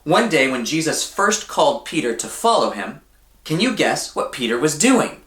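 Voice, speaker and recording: A man reading a children's story aloud in an expressive, deliberate way, often putting questions to his young listeners. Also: a little high, reverberant. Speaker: church storybook reader for children.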